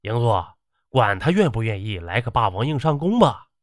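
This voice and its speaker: A man's voice: lowish voice, sing-song, normal speed, no echo, clean studio sound. A man voicing a fawning, servile army officer who reports to his commander in an audiobook. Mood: sly, fawning. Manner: deferential, ingratiating. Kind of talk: audiobook character acting.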